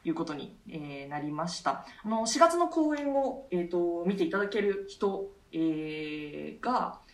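Lower-voiced woman co-hosting, echoey room. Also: expressive, measured pace. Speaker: actress and radio show co-host. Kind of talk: casual explanatory talk with colleagues. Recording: echoey room, distant mic.

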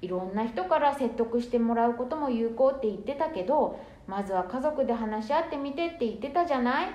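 A woman's voice: a little high, expressive, a little fast, darkish tone, lightly acted. A woman playing a supportive wife who backs her husband with a reassuring tone. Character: supportive wife of the eldest son. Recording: echoey room.

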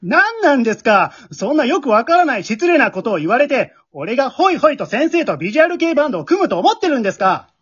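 An amateur radio-drama performer playing a bewildered, blunt male high school student who gives incredulous, deadpan retorts as the straight man. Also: quick pace, hardly any pauses, slightly rough, dull audio, exaggerated voice. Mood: exasperated.

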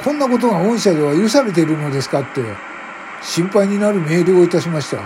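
Man acting a stern, raised-pitch angry personnel boss. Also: animated, quick pace, background noise. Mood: stern, accusing. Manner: stern, accusatory, condescending. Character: angry, overbearing male personnel manager scolding an employee. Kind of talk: amateur radio drama acting.

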